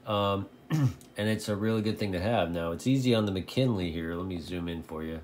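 A man vlogging casually about his hobby in a folksy, halting, repetitive way. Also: low-pitched, darkish tone. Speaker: video host, radio hobbyist. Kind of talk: casual rambling vlog talk. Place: indoor home radio shack. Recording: clearly noisy home recording.

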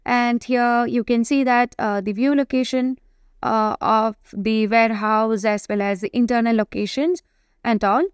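High-pitched woman narrating a software tutorial. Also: steady tone, smooth, no room sound, studio quality. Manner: explanatory, halting delivery with frequent hesitations. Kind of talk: screen-recorded tutorial narration, semi-improvised.

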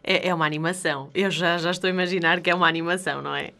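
A woman's voice: on the low side, fairly even intonation, racing, pushed and bright. A woman, interviewing a guest with friendly, conversational questions.

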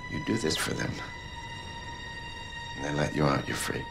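An actor playing an earnest middle-aged scientist in a dramatic video game, speaking with grave, pleading persuasion. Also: male voice, rumbling low, moderate pace, vocal fry, over music. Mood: grave, persuasive.

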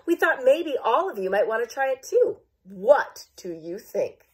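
A friendly storybook state chimes in with a suggestion, as a woman reads her. Her voice is breathy and lively, sitting a little high. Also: unhurried, heavily acted. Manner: chiming, friendly.

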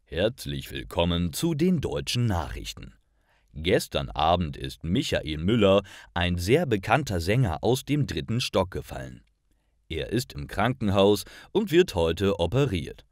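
Man playing a deep-voiced formal radio newsreader. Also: very animated, unhurried, dry recording, clean studio sound, full character voice. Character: formal radio news announcer, adult man.

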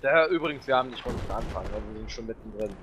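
A young man co-playing a game, chatting excitably and making noises while he plays. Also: mid-range voice, very fast, slight background noise. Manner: excitable, hums and makes gun noises. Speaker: co-player. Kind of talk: casual gaming chat.

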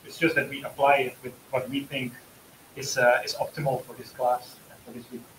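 A man answering questions as an author and teacher in a book discussion, often pausing mid-sentence. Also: mid-range voice, level tone, fairly bright tone. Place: room with an audience, on a video call. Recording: roomy, distant mic with faint hiss.

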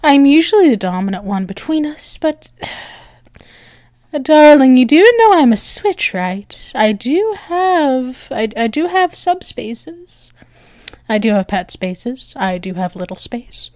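A woman playing a flustered, bratty but affectionate cat-girl girlfriend in an ASMR roleplay, halting and teasing as she stammers. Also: high voice, sing-song, a little mellow, dry recording, studio quality, exaggerated voice. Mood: shy, affectionate. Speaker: audio roleplay performer.

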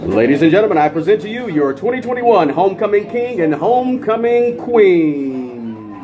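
A middle-aged American man doing live high school stadium PA announcing on a handheld microphone, with a ceremonial, drawn-out delivery of names. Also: very animated, muffled recording. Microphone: handheld dynamic microphone. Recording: crowd noise behind outdoor announcing. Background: crowd.

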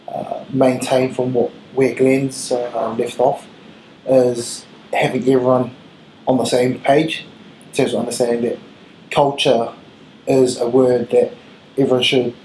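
A man's voice: mid-range voice, mellow. Man, New Zealand accent, 30s, earnest coach, echoey room, faint hiss. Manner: earnest, measured, with filler ums. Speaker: rugby head coach. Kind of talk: interview answers. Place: small room with gray wall, seated at table.

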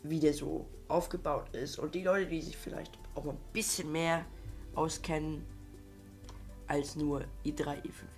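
A man's voice: on the high side, vocal fry. A young man with a cold, rambling in casual let's-play commentary with many fillers and restarts. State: has a cold. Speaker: gaming YouTuber.

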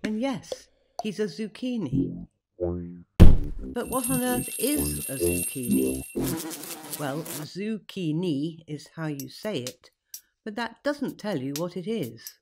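A woman, a children's author, narrating a bedtime story in a gentle, expressive way. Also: low-pitched, takes it slowly, noisy background. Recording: loud background music. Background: music.

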